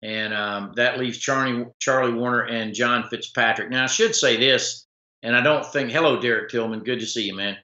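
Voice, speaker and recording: A man hosting a casual sports talk stream, rambling with many fillers and restarts, his voice slightly rough. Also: mid-range voice, normal speed, sharp tone, echoey room, lo-fi sound. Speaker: sports talk show host. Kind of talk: casual live-stream commentary reading viewer comments.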